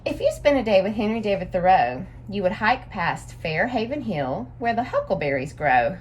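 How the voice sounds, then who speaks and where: A woman's voice: mid-range voice, very animated, moderate pace, slight background noise. A woman reading a picture book aloud to young children, adding simple explanatory asides as a story-time teacher.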